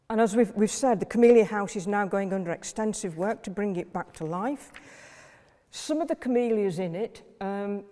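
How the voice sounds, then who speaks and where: Woman giving a history lecture, mellow warm tone, long hall reverb. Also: mid-range voice. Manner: measured, list-like delivery with occasional fillers.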